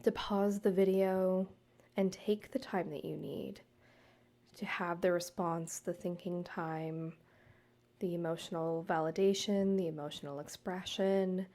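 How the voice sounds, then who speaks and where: A woman guiding a meditation, speaking to the listener in a soothing, measured way with deliberate pauses. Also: on the low side, steady tone, warm tone. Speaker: guided meditation host, counselor and yoga teacher. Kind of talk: gentle guided meditation narration, addressed to the listener.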